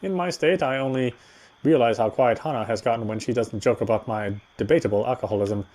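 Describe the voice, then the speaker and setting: Male narrator for a horror visual novel; medium pitch, first-person, dramatic reading. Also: fairly quick, darkish tone.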